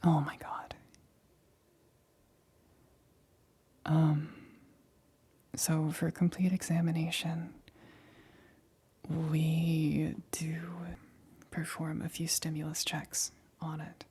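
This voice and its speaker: A woman playing a nervous scientist in a whispered ASMR roleplay, speaking softly and breathily with hesitant, halting stammers. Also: deep voice, monotone, slightly rough, exaggerated voice. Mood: flustered, uneasy. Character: nervous female scientist examining an alien specimen.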